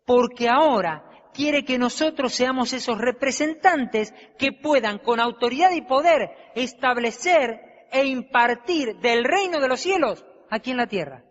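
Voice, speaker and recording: High-voiced preacher in an echoing hall. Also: male voice, animated, fairly bright tone. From Argentina. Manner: emphatic, rhetorical questions, drawn-out stressed words. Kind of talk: sermon. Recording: dull, long reverb of a hall.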